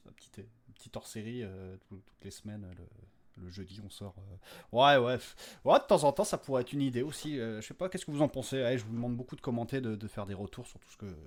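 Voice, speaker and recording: A man's voice: fairly low pitch, a little mellow. A man, the creator and host, chatting casually and unscripted to his listeners in a hesitant, rambling way.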